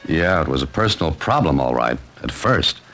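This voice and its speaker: Deep-voiced, wry radio detective. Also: male voice, sing-song, moderate pace. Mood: wry, narrating. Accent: American. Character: wry, self-possessed male amateur sleuth narrating his case. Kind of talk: 1940s radio drama acting and narration. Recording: old radio recording with loud music.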